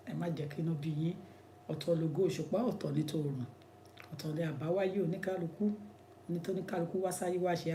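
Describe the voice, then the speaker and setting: A man's voice: fairly high pitch, warm tone, a little hiss or hum. A man with a Nigerian accent, an immigration lawyer giving impassioned commentary in an emphatic, repetitive, preacherly way.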